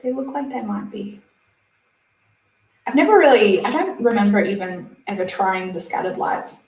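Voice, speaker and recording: A young woman hosts a casual beauty livestream, chatty and quick to giggle as she reads comments. Her voice sits at an ordinary pitch. It carries the echo of a room and sounds a little distant from the microphone. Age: 20s. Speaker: beauty channel host. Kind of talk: casual livestream chat reading viewer comments. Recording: roomy, slightly distant mic.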